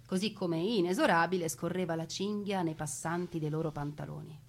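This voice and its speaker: A woman delivering a theatrical narrated monologue, expressive, with deliberate pauses and emphasis. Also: lowish voice. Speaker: stage storyteller.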